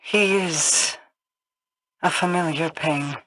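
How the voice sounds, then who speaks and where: A woman's voice: deep voice, slow pace, a bit bright, no room sound, full character voice. An actress playing a regal, solemn mystic queen in a fantasy video game.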